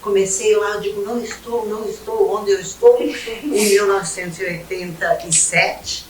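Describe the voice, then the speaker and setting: A woman, giving a measured panel presentation as an engineer. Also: mid-range voice, slight background noise, low-quality recording. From Rio Grande do Sul, Brazil. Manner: measured, slide-by-slide presenting with frequent "né?". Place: event hall, seated at a panel table.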